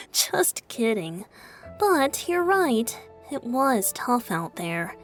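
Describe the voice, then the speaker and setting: A woman's voice: a little high, sing-song, very slow, fairly bright tone, faint music behind, full character voice. A woman voicing a playful, teasing young male bard in a video game dub, light and mischievous. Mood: cheerful, teasing. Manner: light, breezy, mischievous, sometimes whispering.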